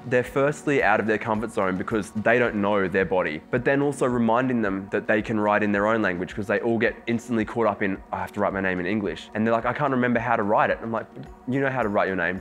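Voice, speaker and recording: Man, low voice, animated storytelling, faint music. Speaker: dance artist. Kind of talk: documentary interview. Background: soft music.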